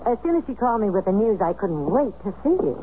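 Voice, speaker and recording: A woman's voice: mid-range voice, big pitch swings, fast, close to an everyday voice. An actress playing a flirtatious, wry, worldly American woman in a 1970s radio drama. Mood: flirtatious, playful. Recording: narrow, phone-like old radio recording, faint noise.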